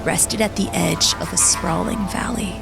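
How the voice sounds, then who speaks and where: A woman's voice: on the low side, fairly even intonation, moderate pace, gravelly, over loud music, poor audio. A woman narrating an audiobook in a solemn, poetic, dramatic style.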